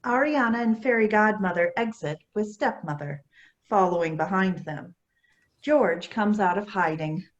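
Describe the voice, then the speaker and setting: Woman narrating stage directions at a script reading, normal pitch. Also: a little slow, muffled recording.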